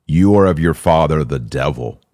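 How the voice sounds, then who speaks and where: Guy with a deep voice doing preachy podcast talk, really emphatic, asks rhetorical questions. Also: lively intonation, moderate pace, warm tone. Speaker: podcast host. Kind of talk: religious discussion, preaching commentary.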